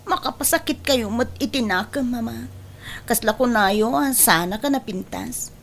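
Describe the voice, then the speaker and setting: High-pitched headstrong young Ilocano woman, acted by a woman. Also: normal speed, fairly bright tone. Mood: defiant, hurt. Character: headstrong young woman in love, stubborn daughter. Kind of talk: Ilocano audio drama acting.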